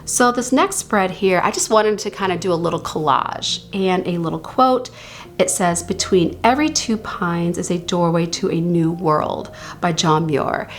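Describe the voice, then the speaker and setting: A woman casually talking through her reading journal on her channel, her voice still slightly hoarse from a recent illness, chatty and stretching out words she wants to stress. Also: lowish voice. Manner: chatty, drawn-out emphasis on words she likes.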